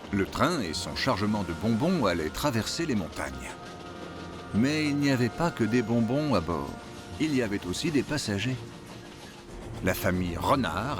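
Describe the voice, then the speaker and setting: A man narrating an animated film as a dramatic storyteller who poses questions to the listener. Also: lowish voice.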